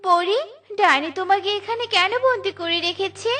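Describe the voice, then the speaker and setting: A woman's voice: very high-pitched, normal speed, pushed and bright, faint music behind. A woman voicing a caring, resolute mother bird in a children's cartoon, earnest and motherly. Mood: caring, determined.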